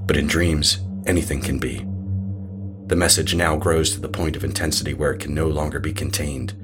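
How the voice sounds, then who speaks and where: A very deep male voice carries this horror audio drama, his narration slow, measured and ominous. Music sits underneath him. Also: steady tone.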